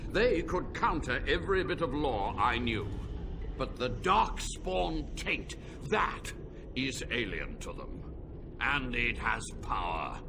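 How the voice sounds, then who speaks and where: Casting: an ancient, unrepentant mage. Slow, weighty, with a very lively pitch and a clear bed of ambient noise under the game audio. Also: male voice, exaggerated voice. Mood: intense. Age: older. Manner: slow, grave, measured delivery. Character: ancient male mage, proud, unrepentant scholar. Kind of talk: video game cutscene dialogue.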